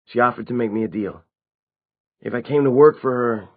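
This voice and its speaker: A man's voice: mid-range voice, moderate pace, phone-quality sound, pitched above the performer's own voice, natural voice. A man voicing an anxious, earnest, defensive teenage vampire in an audiobook story, with emphatic delivery. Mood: anxious, explaining.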